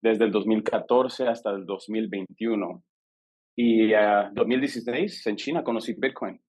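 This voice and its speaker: A man from El Salvador with a Salvadoran accent, a guest on a podcast, telling his story in a lively way and acting out the dialogue he quotes. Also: fairly low pitch, normal speed, husky. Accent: Salvadoran Spanish. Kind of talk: casual storytelling interview.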